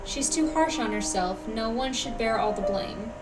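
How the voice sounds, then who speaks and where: Woman as a calm, higher-voiced young traveler. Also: recorded from a distance, over loud music, close to an everyday voice. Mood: calm concern. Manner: calm, measured. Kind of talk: video game voice acting.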